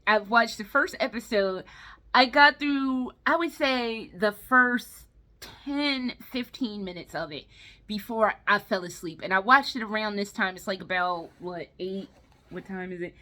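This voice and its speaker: A woman's voice: mid-range voice, sharp tone. A tired woman giving a casual, rambling review in a vlog, blunt and freely profane as she talks. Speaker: video game and movie vlogger.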